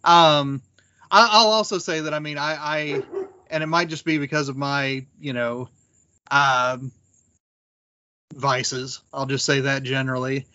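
A man talking casually as a podcast panelist, reflective and halting, with a wry streak. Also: medium pitch, steady tone, sharp tone, lo-fi sound. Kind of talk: casual discussion of old radio drama.

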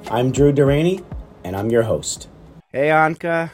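A man hosting an interview podcast, halting and repeating words as he frames his questions. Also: mid-range voice, expressive, faint music behind. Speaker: podcast host. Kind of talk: interview with a guest.

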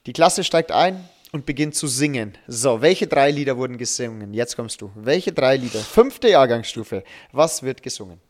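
A man chats casually as a podcast co-host, telling anecdotes in a joking, animated way. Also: mid-range voice, moderate pace, few pauses. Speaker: podcast co-host, school teacher.

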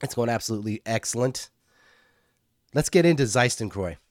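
A man co-hosts a podcast in a relaxed, offhand way and swears now and then. His voice is mid-pitched and plain. The recording is dry and close, with no room sound. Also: brisk, dry recording. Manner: laid-back, casual, mild cursing. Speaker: podcast co-host. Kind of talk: casual podcast chat.

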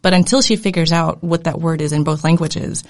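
A woman guest on a casual podcast, explaining things in a thoughtful, steady way. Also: deep voice, brisk. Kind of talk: casual chat.

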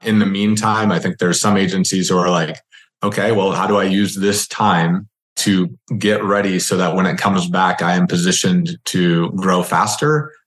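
Guy hosting a podcast, kinda low voice, echoey room, chatty, rewords stuff as he goes. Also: steady tone, moderate pace, a bit bright. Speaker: podcast host. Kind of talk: casual interview conversation. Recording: roomy, echoing sound.

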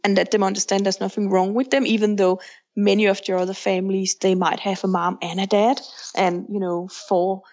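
A woman's voice: mid-range voice, level tone, a little fast, a little mellow, highs cut off. A woman from Denmark with a Danish accent, speaking English as her second language, frankly answering questions as a guest author in a remote podcast interview. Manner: frank, candid, plainspoken.

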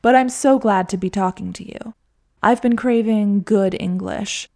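An eager, chatty young man met on a trip, friendly and keen to talk, quoted by a woman narrating her story. The voice stays mellow and a little gravelly. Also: high voice. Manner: chatty, friendly, quick to laugh.

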